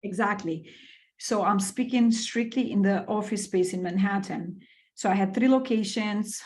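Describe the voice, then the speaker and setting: Middle-aged woman from Albania, podcast guest; enthusiastic, filler-heavy, mid pitch. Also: moderate pace, darkish tone. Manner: enthusiastic, with many fillers and repeated words. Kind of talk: casual interview storytelling.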